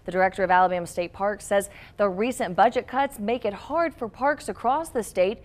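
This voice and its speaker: A woman's voice: mid-range voice, fairly quick. A woman working as a news anchor, reading scripted local news stories.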